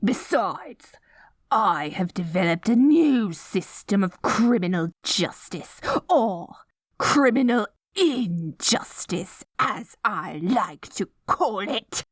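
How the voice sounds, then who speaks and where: A woman's voice: medium pitch, expressive, takes it slowly, breathy edge, no room sound. A woman playing a dorky, melodramatic young evil queen in an audio roleplay, stammering when flustered and grandiose in her villainy. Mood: gleefully scheming. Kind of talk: scripted ASMR audio roleplay.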